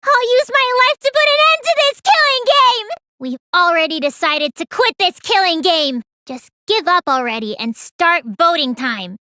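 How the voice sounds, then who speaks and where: Tiny stubborn teen mage girl for a game dub, super high n bright, gloomy drawl that turns shrill. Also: moderate pace, hardly any pauses, no room sound, clean studio sound. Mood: desperate protest. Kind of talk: English video game dub voice acting.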